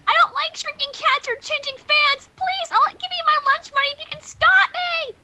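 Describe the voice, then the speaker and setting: Squeaky, very high panicky filly. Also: normal speed, very noisy. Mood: panicked, pleading. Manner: whiny, melodramatic pleading. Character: young filly unicorn, girlish, panicky and pleading. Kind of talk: amateur dramatic reading of cartoon fan fiction.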